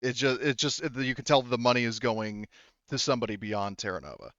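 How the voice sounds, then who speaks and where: Male game master, average pitch, halting casual explainer who rewords as he goes, muffled lo-fi sound. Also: fairly bright tone, dry recording. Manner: halting, explanatory, often rephrasing. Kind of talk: casual tabletop game narration.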